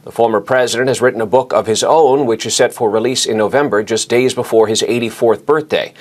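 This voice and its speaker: A man reads a news report in a measured, steady broadcast manner. His voice sits at an ordinary middle pitch. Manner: measured, even delivery. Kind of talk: scripted news narration.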